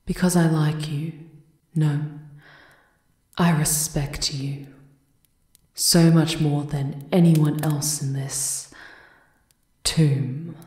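A woman playing a shrewd, composed High Enchantress in a fantasy ASMR roleplay, with a measured, knowing, formal manner. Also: steady tone, darkish tone, slightly rough, dry recording, studio quality, exaggerated voice. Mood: grave, confiding. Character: shrewd, composed female High Enchantress advising a vampire countess.

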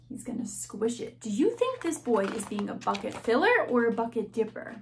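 Casting: young woman teacher, bright high voice, lively kids' storytime delivery. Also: normal speed, a little hiss or hum. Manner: animated, gasping, questions to young listeners. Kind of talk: children's read-aloud. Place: small classroom-style room indoors.

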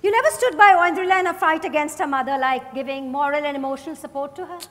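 A woman's voice: high voice, quick pace, natural voice. A woman on stage playing a probing, commanding female investigator, with pointed and insistent questioning. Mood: brisk, commanding. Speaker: stage actor. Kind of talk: dramatized play reading on stage. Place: large hotel ballroom stage. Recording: echoey hall from far off.

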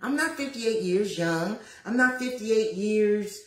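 A woman in her fifties talks straight to her viewers while she cooks, lively and a little preachy, calling out to them as y'all. Her voice sits at an ordinary middle pitch. It is picked up by a phone at arm's length and bounces around a roomy home kitchen. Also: takes it slowly, a little hiss or hum. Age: 50s. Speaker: lifestyle vlogger. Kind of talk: casual livestream chat while cooking. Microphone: phone camera at arm's length.

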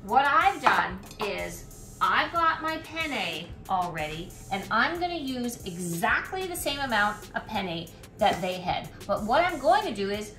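A woman's voice: medium pitch, sing-song, a little slow, pushed and bright. A middle-aged woman chatting casually as she cooks in her home kitchen, thinking aloud while she works. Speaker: cooking vlogger. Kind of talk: casual cooking demonstration. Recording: echoey kitchen with background music. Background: music.

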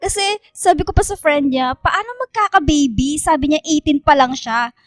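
An actress playing a chatty, dramatic young woman who tells stories to online friends in expressive, casual Taglish radio drama dialogue. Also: sits high, normal speed, no room sound, natural voice. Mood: exasperated, amused. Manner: expressive, gossipy storytelling.

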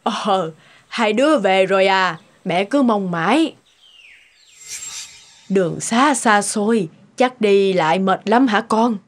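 A woman voice-acting mild, caring older mothers in an animated moral tale. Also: medium pitch, slow pace.

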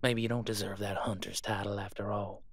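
A man playing a gruff, scornful veteran monster hunter in an audio roleplay, folksy and bossy. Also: lowish voice, flat delivery, fairly quick. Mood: scheming, scornful.

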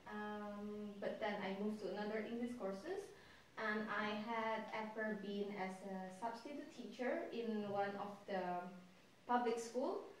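Indonesian woman teacher talking slow with lots of ums, sounds far off in a very echoey classroom. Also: medium pitch, a little hiss or hum. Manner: hesitant, many ums and uhs, laughs lightly. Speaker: English teacher, interviewee. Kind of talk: casual interview answers. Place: classroom with whiteboard, indoors. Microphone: far camera mic.

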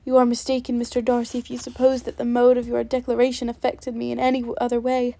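A woman's voice: on the high side, completely flat delivery, fast talker, gravelly, dark tone, no room sound. Elizabeth, a woman reading a spirited indignant young lady.

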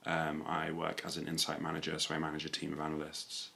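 A young man with a London accent speaks in a very deep voice with a bright, forceful edge. His pitch stays fairly flat as he chats casually as a co-host. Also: fast talker, vocal fry. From UK, born in Nigeria and moved at two. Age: young adult. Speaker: video co-host. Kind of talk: casual conversational talk. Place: small furnished room, indoors.

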